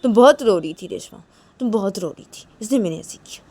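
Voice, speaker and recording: A woman's voice: medium pitch, lively intonation, a little fast, dark tone, slightly rough. Woman acting a stern, hot-tempered young woman. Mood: guilty, defiant. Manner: terse, insistent, repetitive warnings. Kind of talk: improvised dramatic storytelling dialogue.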